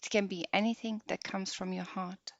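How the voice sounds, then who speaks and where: A woman guiding a meditation practice softly and unhurriedly, leaving long pauses between short phrases. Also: mid-range voice, steady tone, dry recording. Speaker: yoga nidra meditation guide. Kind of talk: slow guided meditation. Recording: dull lo-fi close dry recording.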